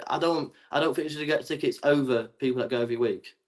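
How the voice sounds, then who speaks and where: A man's voice: mid-range voice, fast. A man from England arguing his side in a heated debate, stammering and repeating his words. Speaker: guest.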